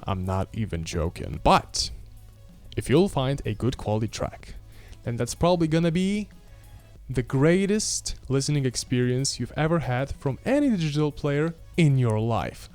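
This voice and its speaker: A young man talks through a gadget review into a handheld mic, casual and self-mocking. His voice sits a bit high and sounds smooth and clean. Soft music plays faintly underneath. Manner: chatty, animated, self-mocking, gestures while talking. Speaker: tech reviewer. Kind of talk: casual product review to camera. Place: small home room with audio gear, indoors.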